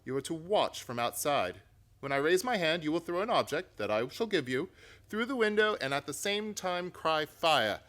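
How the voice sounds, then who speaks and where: A man playing a confident, commanding gentleman detective in a theatrical radio play. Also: on the high side, sing-song, moderate pace. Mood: instructing.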